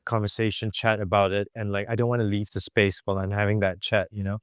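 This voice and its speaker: A young man from Malaysia, a visual artist answering quick interview questions in a chatty way with many fillers and little laughs. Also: on the low side, little pitch movement, clean tone, dry recording, studio quality.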